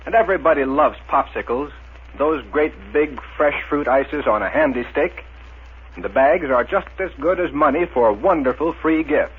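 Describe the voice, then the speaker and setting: A man announcing and pitching a sponsor's product in enthusiastic 1930s radio style. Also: lively intonation, moderate pace, breathy edge. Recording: tinny, muffled 1930s radio recording.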